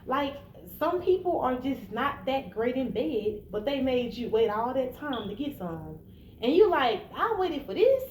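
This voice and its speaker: A higher-pitched American woman in her early thirties talks in an echoey room. She is blunt and informal, speaking straight to the listener with emphasis. A faint layer of noise sits behind her. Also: big pitch swings, a little slow. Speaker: vlogger giving relationship advice. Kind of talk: candid casual advice monologue.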